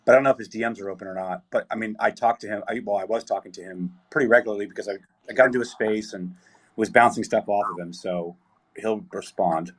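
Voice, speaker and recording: A man hosting a live audio chat room, his voice weak and hoarse after being sick, talking haltingly with fillers and restarts. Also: on the low side. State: voice weak, recovering from illness.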